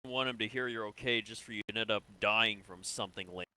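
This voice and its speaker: A calm sci-fi medic , played by a man in a game roleplay, with a smooth, lowish voice. The delivery is casual and reassuring, with a bright edge. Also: fast talker. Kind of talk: improvised online game roleplay.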